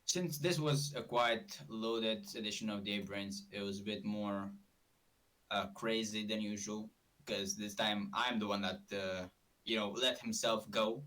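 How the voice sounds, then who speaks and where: A man's voice: on the low side, steady tone, unhurried, fairly bright tone. A man from Romania, hosting a casual live stream in a rambling, self-correcting way, a bit far from the mic. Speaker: streamer host.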